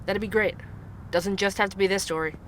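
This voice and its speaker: An actor playing a male podcast host who investigates cold cases, speaking in a calm, measured, explanatory way. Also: high-pitched, a little hiss or hum.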